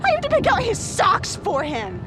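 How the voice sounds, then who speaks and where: Woman acting a possessive young girlfriend, hot-headed, confrontational, swooping high voice, prominent music. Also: huge pitch swings, normal speed, exaggerated voice. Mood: indignant. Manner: shrill, exclamatory, confrontational. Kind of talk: student web series comedy-drama acting. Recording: loud music over the voice.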